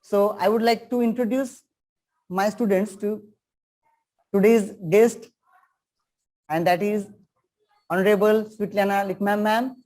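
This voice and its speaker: A man's voice: high voice, steady tone, unhurried, long pauses, darkish tone. An Indian man hosting a webinar over a video call. His speech is earnest and formal, with key phrases repeated for emphasis in the manner of a teacher addressing students.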